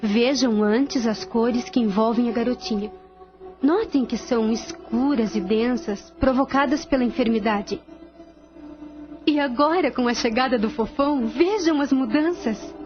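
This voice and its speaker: Atriz como instrutora espiritual serena, voz aguda. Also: female voice, fairly high pitch, a little slow, natural voice. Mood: serene, instructive. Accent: Brazilian. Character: female spirit instructor, serene nun guiding students. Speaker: voice actor in a radio drama. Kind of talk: radio drama acting, didactic explanation. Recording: dull lo-fi audio. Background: music.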